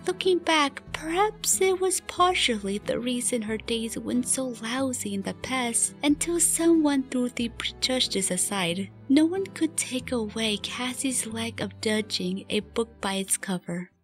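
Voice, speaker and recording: A woman's voice: a little high, animated, a little slow, hardly any pauses, faint music behind. A woman reading scripted story narration as the narrator of a fan radio play. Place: recorded voice-over with music behind.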